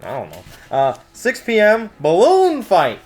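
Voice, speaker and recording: Very animated, joking show co-host. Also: mid-range voice, unhurried. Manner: joking, playful asides. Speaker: co-host of store news show. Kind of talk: casual banter while reading out event listings.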